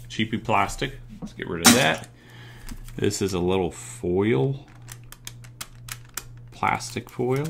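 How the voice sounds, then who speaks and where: Casual male video host, middle-aged, lowish creaky voice, musing through a hands-on demo. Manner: musing, thinking aloud. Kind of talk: casual hands-on teardown commentary. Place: indoors at a tabletop workspace. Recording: noticeable background noise.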